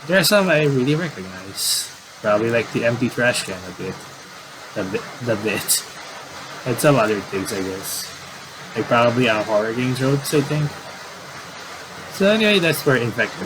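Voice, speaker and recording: A man doing casual game commentary and reading aloud, in a halting, rambling way with repeated words. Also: mid-range voice, background noise.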